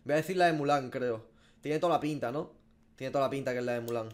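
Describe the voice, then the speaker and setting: Male streamer, twenties, Spanish accent from Spain, informal sweary game reactions, average pitch. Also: fast talker, noisy background. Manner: informal, slangy and sweary, thinking aloud. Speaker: gaming streamer. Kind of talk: casual reaction commentary. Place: small home room.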